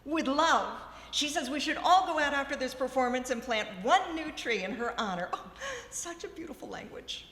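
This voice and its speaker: An actress playing a grand, effusive, self-important stage diva in a live radio comedy. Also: female voice, sits high, lively intonation, moderate pace, a bit bright. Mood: dramatic. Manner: theatrical, effusive. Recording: echoey, noisy ballroom stage.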